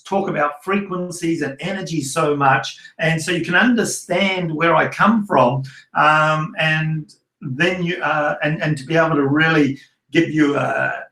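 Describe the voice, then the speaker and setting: Middle-aged Kiwi-accented male coach, rambling delivery with restarts, slightly high voice, roomy sound. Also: pushed and bright. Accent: New Zealand. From New Zealand. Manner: loose and rambling, with many restarts and fillers. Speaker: prosperity coach. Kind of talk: online masterclass talk.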